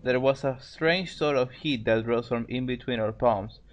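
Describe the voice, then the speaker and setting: A man's voice: mid-range voice, normal speed. A man co-hosting a story show, reading fan fiction aloud in casual amateur narration and stumbling over words.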